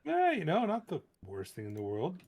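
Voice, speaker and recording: A man's voice: high-pitched, wildly swooping pitch, moderate pace, a little mellow. A man chatting casually as a guest on a game stream, hesitant and agreeable. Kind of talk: casual chat. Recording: music playing faintly behind the voice.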